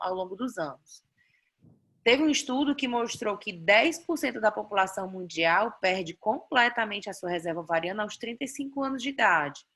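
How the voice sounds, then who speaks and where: A woman with a Brazilian Portuguese accent gives an online lecture over a video call mic. Her voice sits at a middle pitch for a woman. She explains slides step by step, often checking in with her listeners. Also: fairly bright tone. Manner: explanatory, frequent "né?" tags and restarts. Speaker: doctor teaching a fertility class.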